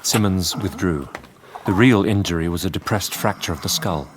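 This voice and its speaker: A man playing an earnest Victorian doctor, narrating a radio drama gravely and deliberately in short phrases. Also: low voice, noisy background, lightly acted. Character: earnest Victorian male doctor recounting horrors. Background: music.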